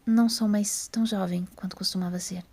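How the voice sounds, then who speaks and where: A woman voicing a nervous, socially awkward female time traveller in an audio story, hurried and apologetic. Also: mid-range voice, steady tone, warm tone, lightly acted. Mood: vague. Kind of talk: audio drama character voice.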